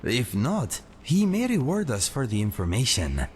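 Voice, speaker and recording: A man's voice: medium pitch, wildly swooping pitch, normal speed, a little hiss or hum. A man playing a bold, wry catlike warrior companion in a fantasy game, speaking in formal, eloquent phrases. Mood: musing. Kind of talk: fantasy game character acting.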